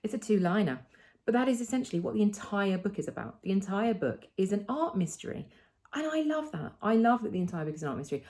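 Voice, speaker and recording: Low-pitched British woman, chatty book reviewer. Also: creaky, mellow. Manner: enthusiastic, conversational. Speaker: book club host.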